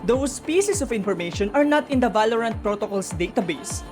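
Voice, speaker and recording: A woman with a fairly high voice narrates a lore breakdown over background music. She is chatty and informal, weighing theories and addressing her viewers directly. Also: lively intonation, a little fast. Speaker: video narrator explaining game lore. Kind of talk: informal explainer commentary. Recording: music under the voice.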